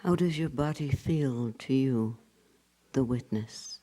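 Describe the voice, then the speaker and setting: A man leading a guided meditation as a spiritual teacher, speaking in short phrases with long pauses and hushed endings. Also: medium pitch, low-quality recording.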